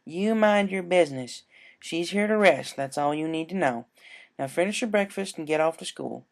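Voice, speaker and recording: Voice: medium pitch, animated, normal speed, creaky, pitched above the performer's own voice. A performer voicing an adult female farm pony, stern but caring, with folksy country phrasing, in a cartoon fan story.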